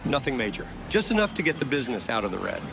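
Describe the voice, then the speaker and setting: A man's voice: medium pitch, very animated, fast talker, gravelly. An actor voicing a wisecracking, laid-back male ghost hunter with a dry, sarcastic delivery for a motion comic. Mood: deadpan scheming. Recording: loud music bed under voice.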